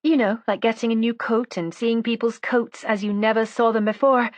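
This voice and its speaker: A woman narrator plays a lively, good-humoured lady in a detective story. She is wry and conversational, with raised pitch and a dry close sound. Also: moderate pace, no echo, close to an everyday voice. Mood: chatty.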